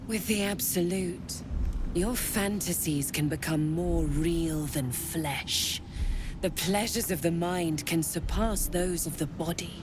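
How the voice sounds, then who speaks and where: Female cult commander, game dialogue, low-pitched, seductive, menacing, commanding, noisy ambience behind. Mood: seductive, reverent. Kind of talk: video game voice acting.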